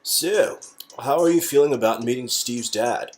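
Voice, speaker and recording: Woman acting a low-voiced, sardonic young protagonist. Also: normal speed, darkish tone, soft background music. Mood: casual, curious.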